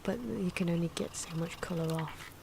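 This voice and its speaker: A soft-spoken woman giving casual ASMR commentary on drawing, often slipping into whispers, in an unhurried, rambling and playful way. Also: fairly low pitch. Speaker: host of an ASMR drawing channel. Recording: clear background noise from drawing sounds. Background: pen and marker scratching.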